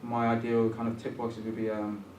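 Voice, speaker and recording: A man's voice: medium pitch, completely flat delivery, moderate pace, darkish tone, slight background noise. A man, born in England and partly raised in Ghana, speaking as a guest in a conversation, searching for words with many ums and restarts. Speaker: guest programmer. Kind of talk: conversational discussion. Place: room at a public talk event. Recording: roomy, distant mic, faint ambience.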